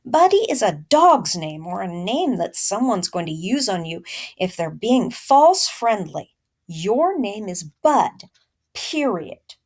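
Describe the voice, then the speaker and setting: A woman's voice: medium pitch, sing-song, slow, darkish tone. A woman reading aloud as a firm, loving mother who lectures her young son insistently in a storybook. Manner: emphatic, insistent.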